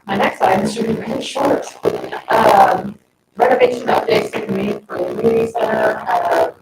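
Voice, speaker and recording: A man's voice: very deep voice, monotone, moderate pace, creaky, loud music behind the voice. A man giving an informal staff update at a board meeting. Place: indoor town board meeting room. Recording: very noisy, echoey room, distant mic.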